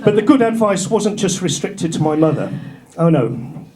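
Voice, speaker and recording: A middle-aged man from North Yorkshire with an English accent, doing a stand-up routine in a comedy club, dropping his punchlines low and dry. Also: very animated, normal speed. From North Yorkshire, England. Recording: live club with loud crowd laughter. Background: audience laughter.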